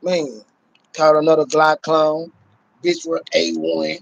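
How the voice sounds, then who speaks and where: Animated man chatting on a phone livestream. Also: a little high, slow pace, vocal fry, dry recording, muffled recording. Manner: animated, swearing, laughing. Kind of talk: casual chat. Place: small room at home, indoors. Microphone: phone held at arm's length. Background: fireworks outside.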